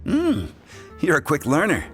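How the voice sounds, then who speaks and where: A man's voice: a little high, wildly swooping pitch, unhurried, soft background music. An actor playing a friendly bartender explaining the basics of his trade, chuckling as he talks. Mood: instructive. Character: friendly adult male bartender teacher. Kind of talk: game cutscene voice acting.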